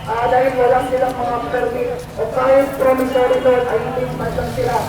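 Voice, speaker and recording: High-pitched young Filipino woman, echoey rally speech. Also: monotone, brisk. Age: young adult. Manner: emphatic, rhetorical, direct appeals. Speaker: student protest speaker. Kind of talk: impassioned protest speech. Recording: echoey outdoor rally, distant, crowd noise, music.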